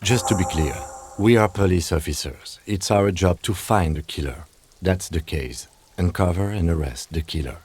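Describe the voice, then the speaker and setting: Male actor as a calm game police lieutenant, low mellow voice, formal, matter-of-fact, dutiful. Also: normal speed, a little hiss or hum, natural voice. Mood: matter-of-fact, serious. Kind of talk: video game dialogue acting.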